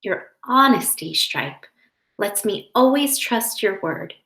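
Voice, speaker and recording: A woman's voice: medium pitch, expressive, slow, a bit bright. Reassuring storybook mama, read by a woman, echoey. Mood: reassuring, loving. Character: kind, reassuring mother comforting her young child. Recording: roomy, echoing indoor sound.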